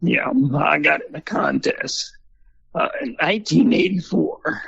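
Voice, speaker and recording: Husky older man telling stories. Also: a little high, unhurried, dull audio. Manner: folksy, animated storyteller. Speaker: museum founder and former country singer. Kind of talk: storytelling in an interview.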